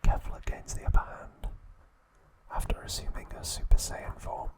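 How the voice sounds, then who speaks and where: A man narrating trivia as an ASMR host in a breathy, hushed whisper, in short measured phrases. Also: rough recording.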